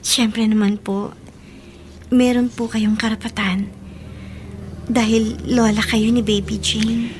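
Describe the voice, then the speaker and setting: A woman's voice: a little high, flat delivery, slow, long pauses, a little mellow, a little hiss or hum. A young actress playing a polite, earnest young woman who is soon to marry, in a radio drama.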